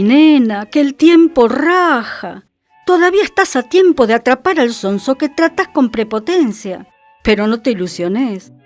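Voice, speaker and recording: A woman's voice: fairly high pitch, darkish tone. A woman performing a sardonic old-time porteño storyteller, in a dramatic, admonishing monologue with a Buenos Aires accent. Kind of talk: theatrical monologue in tango slang.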